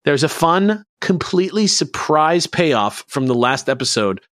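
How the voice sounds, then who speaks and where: A man's voice: on the high side, big pitch swings, unhurried, fairly bright tone, no room sound. Casual, rambling male podcast host, close dry sound. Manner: rambling, conversational, hedging with "like". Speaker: podcast host presenting a researched topic. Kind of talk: casual explanatory chat.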